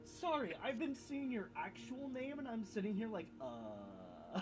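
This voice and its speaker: A man's voice: high-pitched, big pitch swings, unhurried, over music. A man who is a streamer and voice actor, talking casually and reacting animatedly to his chat.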